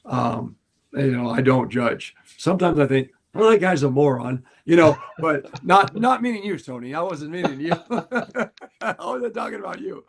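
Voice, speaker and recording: An older man with a raspy voice, co-hosting a casual podcast and telling his story earnestly, often laughing. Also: fairly high pitch, lively intonation, moderate pace. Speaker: podcast co-host.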